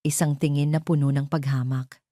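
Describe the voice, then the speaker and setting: Low, mellow woman narrating a story. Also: level tone, moderate pace, no echo, studio quality. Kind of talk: scripted romance story narration.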